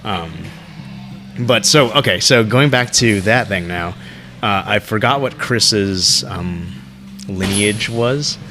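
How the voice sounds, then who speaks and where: A man's voice: on the low side, takes it slowly, music in the background. A man from the United States co-hosting a podcast, chatting casually and asking curious questions with many fillers. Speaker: podcast co-host. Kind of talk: casual chat.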